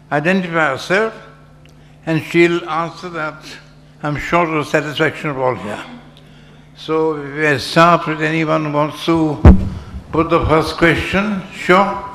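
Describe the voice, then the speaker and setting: A man chairs the question session, brisk and a little bossy with the floor. His voice is slightly high. There is faint noise behind him. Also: fairly bright tone. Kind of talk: moderating a Q&A.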